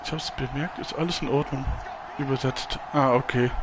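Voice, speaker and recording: A man's voice: mid-range voice, steady tone, moderate pace, a crowd in the background, background noise. A man from Germany live-streaming a football video game, chatting casually and rambling as he answers viewers.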